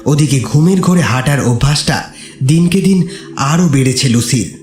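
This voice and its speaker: A man's voice: fairly high pitch, animated, moderate pace, a little mellow. A man narrating a scripted horror story in a dramatic style. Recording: echoey, with music underneath. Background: music.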